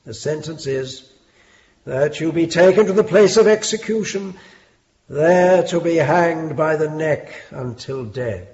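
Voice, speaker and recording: An actor playing a stern, lofty Victorian judge with an English accent, formal and measured, in a British radio drama. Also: male voice, on the high side, a little mellow. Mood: solemn. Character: stern, lofty Victorian judge presiding over a murder trial. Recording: dull, muffled lo-fi drama recording.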